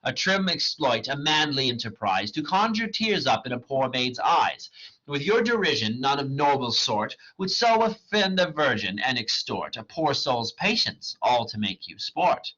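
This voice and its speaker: A man's voice: mid-range voice, very animated, unhurried, bright, forceful tone, voice pushed up, exaggerated voice. A man reading as a wounded, indignant young woman. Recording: dull lo-fi recording.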